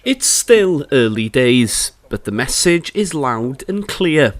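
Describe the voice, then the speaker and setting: A man reads a scripted news feature as a reporter's voice-over. His pitch sits in the middle of the male range, and the delivery is that of prepared narration. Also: sing-song, slow.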